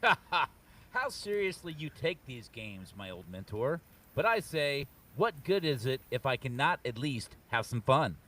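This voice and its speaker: A man's voice: mid-range voice, very animated, a little slow, sharp tone, distant mic. A man playing a carefree, teasing young fantasy prince in a theatrical comic book table read. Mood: teasing, breezy. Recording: voice off the mic.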